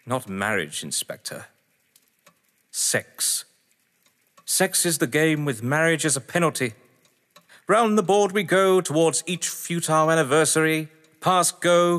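A man's voice: a little high, pushed and bright, exaggerated voice. A man acting in an audio drama as a witty, haughty husband under questioning, verbose, sardonic and theatrical. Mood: bitter, sardonic. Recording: echoey hall-like reverb.

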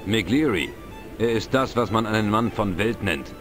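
An older village know-all at the pub in an adventure game. He explains things drily in a lowish, measured voice, with clear music behind him. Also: expressive, fairly bright tone. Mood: dry, gossipy. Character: older, knowledgeable, wry Irish pub regular. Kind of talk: dubbed adventure game dialogue.

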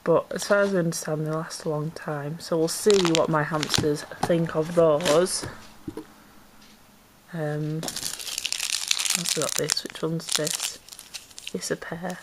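Low-voiced woman unboxing, a bit stuffy. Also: fairly even intonation, slow, long pauses, noisy background. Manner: chatty, self-deprecating, rambling with ums. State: slightly congested with a cold. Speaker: pet vlogger. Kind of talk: casual unboxing chat. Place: indoors at home, camera pointed down into a box.

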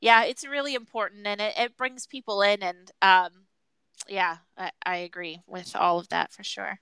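A woman with a bright, very clear voice chats casually as a guest. Her headset gives a dry sound with no room echo, and her way of talking is informal. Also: mid-range voice, fairly even intonation, moderate pace. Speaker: guest, marketing consultant. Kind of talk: casual chat. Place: room at home, indoors. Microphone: headset on a video call.

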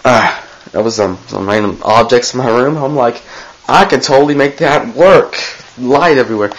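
A man's voice: mid-range voice, highs cut off. Goofy rambling teenage boy on a webcam. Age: teens. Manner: goofy, rambling, self-interrupting. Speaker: vlogger. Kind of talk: rambling webcam birthday message. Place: small bedroom indoors. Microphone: webcam close to face.

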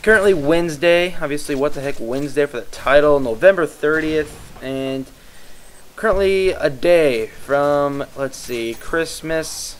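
A man's voice: on the high side, measured pace, slight background noise. A tired young man from the Midwest rambling casually as he commentates over gameplay, often losing his train of thought. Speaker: YouTube gaming commentator.

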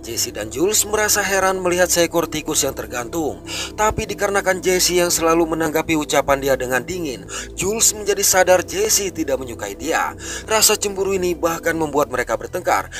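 A man narrating a film recap in a brisk, scripted storytelling way. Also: on the high side, fairly bright tone. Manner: brisk storytelling, rising and falling delivery.

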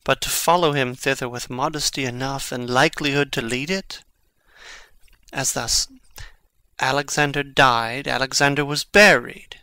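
An actor playing a brooding, impassioned young prince in a dramatic Shakespeare reading, with deliberate theatrical delivery. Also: male voice, medium pitch, sharp tone, clean tone, dry recording. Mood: musing.

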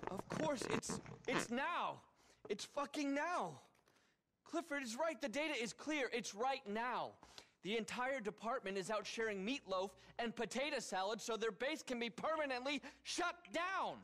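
An actor playing an eccentric, egotistical, paranoid tech billionaire with a pompous keynote-style delivery in a video game. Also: male voice, extremely high pitch, animated, a little slow, a bit bright, heavily acted. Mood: agitated. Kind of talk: video game cutscene acting. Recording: long reverb of a cavernous hall.

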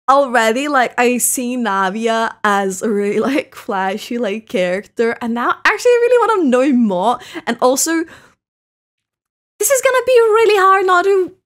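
A young woman giving excited, gushing, giggly casual reaction commentary, recorded in a room at home. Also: high voice. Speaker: reaction video host. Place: home room at a desk, indoors. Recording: game trailer music behind voice. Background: trailer music and game dialogue.

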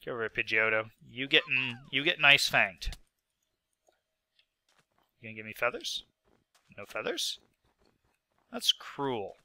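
Young man with a head cold, rambling and self-mocking game commentary, bright smooth voice, dry close mic. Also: mid-range voice, sing-song, unhurried, pauses often, no echo. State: sick with a stuffy nose. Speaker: gaming video host. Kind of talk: casual gameplay commentary.

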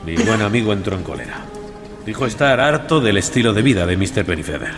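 Courteous low-voiced gentleman. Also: animated, over music. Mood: reluctant, solemn. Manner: measured, courteous, solemn. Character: mild, pious-sounding gentleman, outwardly reluctant witness. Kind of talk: audio drama acting.

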